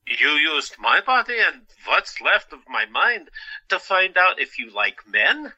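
A man acts out a playful asylum patient with a wry, melancholy streak, in an audio drama. His voice is very bright and forceful, speaking slowly with halting, teasing pauses. The recording is slightly dull. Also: a little high, big pitch swings, heavily acted.

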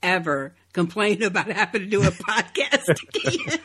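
A woman's voice: mid-range voice, sing-song, moderate pace, a bit bright, breathy edge. A woman co-hosting a podcast interview, reacting expressively and chatting casually. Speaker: podcast co-host.

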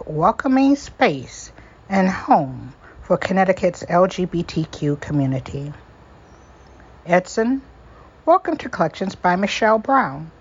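A woman narrates a scripted show introduction in a distinctly husky voice. It sits a little low for a woman. The recording is somewhat dull and lo-fi.

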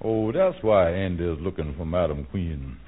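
A man's voice: low-pitched, normal speed, slight background noise, close to an everyday voice. An actor playing a scheming small-time con man in an old American radio comedy, blustering and hemming and hawing. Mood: sly, plotting. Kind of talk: 1940s-50s American radio comedy acting. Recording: tinny, band-limited old radio recording.

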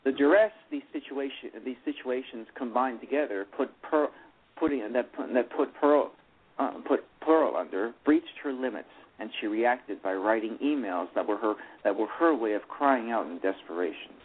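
A man's voice: medium pitch, normal speed, husky. A man narrating a radio play who stumbles and restarts over his words while reading. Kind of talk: reading a story aloud. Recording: tinny phone-line sound.

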